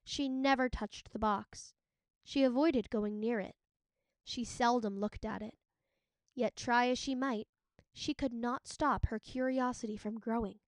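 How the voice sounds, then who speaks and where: A woman narrating a storybook as a teacher would, in an expressive read-aloud style. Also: a little high, unhurried, no echo.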